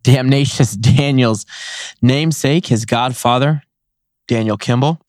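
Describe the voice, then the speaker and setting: A man hosting his own podcast, chatting casually and excitedly with frequent exclamations, his voice a little hoarse. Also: medium pitch, a little slow, no room sound, clean studio sound. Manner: enthusiastic, rambling, laughs often. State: slightly hoarse voice. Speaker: podcast host. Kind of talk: casual solo podcast recap.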